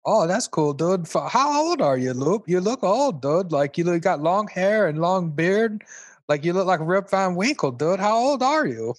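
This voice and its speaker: A man's voice: high-pitched, sing-song, normal speed, no room sound, studio quality. A man playing an easygoing, dim slacker talk show host who punctuates his slangy chatter with "dude". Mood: amazed, chatty. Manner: slangy, ending phrases with "dude". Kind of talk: comedic radio chat.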